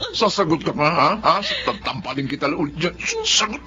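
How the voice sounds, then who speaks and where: An actor playing an abusive drunken husband in a radio drama, barking threats and taunting questions. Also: male voice, a little high, expressive, fairly quick, lightly acted. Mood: angry, menacing. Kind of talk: Tagalog radio drama acting. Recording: muffled audio with music behind.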